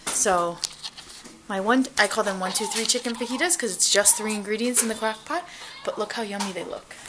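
A woman's voice: mid-range voice, a little fast, background noise. A woman, chatting upbeat and straight to her viewers in a casual family vlog, filmed on a handheld camera at arm's length. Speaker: family vlogger. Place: car, then a home kitchen and living room.

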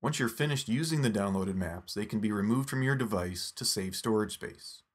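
A man narrating a scripted phone app tutorial in a steady, instructive step-by-step voice. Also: on the low side, moderate pace.

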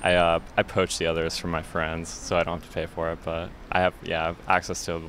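A man's voice: low-pitched, monotone, fairly quick, fairly bright tone. A young man casually answering a street interviewer outdoors. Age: young adult. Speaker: passerby. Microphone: handheld mic held by interviewer. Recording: faint street noise behind.

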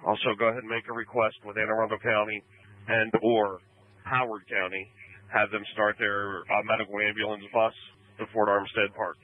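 A man working as fire incident commander, giving measured, procedural instructions over emergency radio. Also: lowish voice, flat delivery. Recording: phone-like emergency radio transmission.

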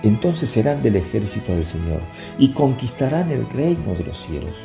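An actor playing an earnest apostle leader, preaching solemnly and oratorically in a religious radio drama. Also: male voice, medium pitch, big pitch swings, normal speed, vocal fry, over music. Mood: fervent exhortation.

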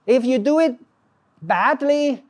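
A middle-aged man speaks with a Hong Kong accent in the manner of a professor addressing a class. His delivery is informal and animated, breaking into emphasis as he explains. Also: very high-pitched, takes it slowly. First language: Cantonese. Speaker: professor, lecturer. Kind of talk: informal academic lecture. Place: classroom with small audience, indoors.

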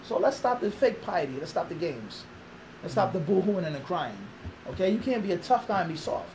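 A man's voice: sits high, expressive, a little fast, a little mellow, a little hiss or hum, poor audio. A man in his thirties answering an interview in a small classroom, emphatic and full of rhetorical questions. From Philadelphia. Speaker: interviewee, Islamic teacher.

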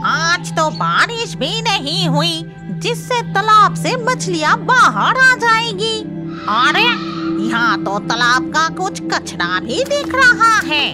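A woman voicing a witch in a children's cartoon, with dramatic, exclaiming, drawn-out delivery over music. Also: very high voice, big pitch swings, full character voice. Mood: shocked, suspicious. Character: green-skinned witch woman guarding her fish pond, indignant. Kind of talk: Hindi children's cartoon dubbing. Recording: loud background music.

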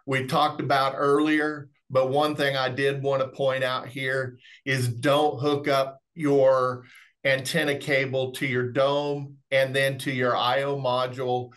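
A man's voice: mid-range voice, level tone, slow, fairly bright tone. A middle-aged man presenting webinar technical training in a folksy, explanatory way. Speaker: remote monitoring specialist presenting product training. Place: indoors, on a video call.

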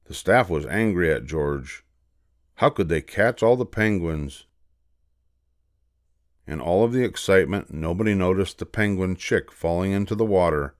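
An older man reading a children's storybook aloud as narrator, in a measured, expressive way. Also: low voice, no echo, studio quality.

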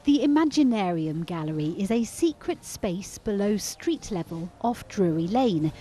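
A woman's voice: mid-range voice, big pitch swings, measured pace, mellow, husky. A woman working as a television reporter, narrating a scripted news feature.

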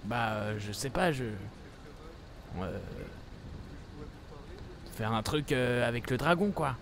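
Casting: young man, mid-pitched, halting, improvised roleplay chat, light vehicle noise behind. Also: expressive, a bit bright. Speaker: livestreamer playing a roleplay game.

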